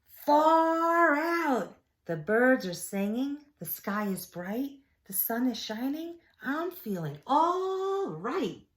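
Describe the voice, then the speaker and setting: A woman voicing a dramatic storybook turtle with drawn-out, emphatic words. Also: high-pitched, very animated, voice pushed up, heavily acted. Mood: elated. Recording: echoey room.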